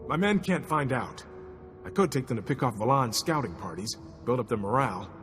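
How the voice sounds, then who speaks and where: An actor playing a gruff, weary and practical military commander in a video game, brisk and blunt. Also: male voice, mid-range voice, expressive, big-room sound, over music, close to an everyday voice. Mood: anxious. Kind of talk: video game cutscene acting.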